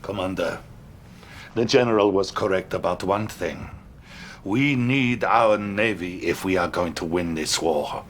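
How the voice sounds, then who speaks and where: A man playing a grave, commanding Soviet wartime leader in a video game cutscene, speaking with deliberate authority. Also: low voice, a little hiss or hum. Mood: grave, resolute.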